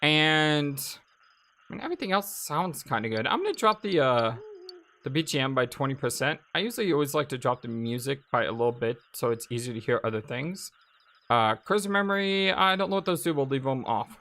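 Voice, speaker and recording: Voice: medium pitch, expressive, fairly quick, a bit bright. A let's play host giving casual, chatty gameplay commentary and reading game text aloud, reacting as they go. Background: video game music.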